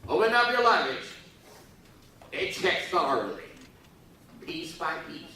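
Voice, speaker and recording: Lively man in an echoey hall. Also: a little high, unhurried, far from the mic, a little hiss or hum. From Taiwan. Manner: playful, teasing, prods the audience to respond. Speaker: speaker at a youth forum. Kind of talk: joking speech to a live audience.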